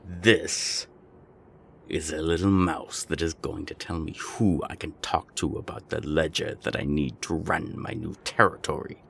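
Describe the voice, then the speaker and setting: Man acting very deep, gravelly, menacing serpent gang boss. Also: level tone, a little hiss or hum, full character voice. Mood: taunting threat. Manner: slow, deliberate, taunting, threatening. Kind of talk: audio roleplay drama acting.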